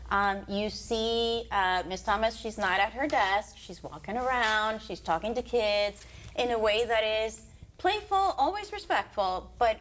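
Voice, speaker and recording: A woman's voice: a little high, measured pace, a bit bright. Composed woman principal from Puerto Rico. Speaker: school principal. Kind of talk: interview answers.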